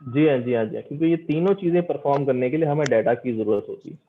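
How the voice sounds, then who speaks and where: A man's voice: mid-range voice, fast, narrow, tinny audio, a little hiss or hum. A middle-aged man giving an informal, interactive lecture, explaining step by step and repeating phrases for emphasis.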